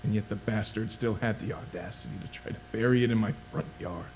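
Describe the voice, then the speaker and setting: A man playing a grieving, vengeful father in a somber, halting, dramatic found-footage monologue, with a noisy, humming sound. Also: on the low side, darkish tone, lightly acted. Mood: bitter, vengeful. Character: grieving, vengeful father recording a tape for his dead child. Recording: noisy, humming tape-like recording.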